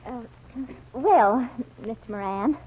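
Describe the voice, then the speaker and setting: A woman playing a headstrong, excitable American amateur sleuth in a 1940s radio drama, with a breathless, insistent manner. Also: on the high side, slow pace, husky, full character voice. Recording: dull 1940s radio recording.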